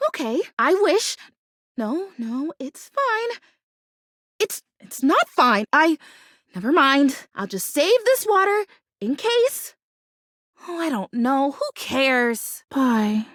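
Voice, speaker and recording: A woman's voice: high voice, sing-song, takes it slowly, a bit bright, no echo, studio quality, heavily acted. A woman voicing an earnest, hopeful plastic plant girl who longs to be real, a video game character with a dramatic, childlike way of talking. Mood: hurt, sulky. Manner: earnest, dramatic, childlike phrasing and mild insults.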